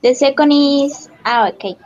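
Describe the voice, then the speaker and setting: Slow high-voiced woman student, dull audio. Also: a little mellow. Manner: slow, careful reading. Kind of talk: reading sentences aloud in class.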